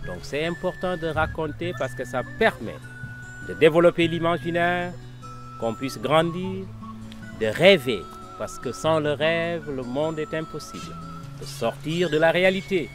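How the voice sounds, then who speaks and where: A man from Senegal who has lived in France for about twenty years, speaking calmly and reflectively about storytelling. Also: fairly high pitch, moderate pace, over music. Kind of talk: interview.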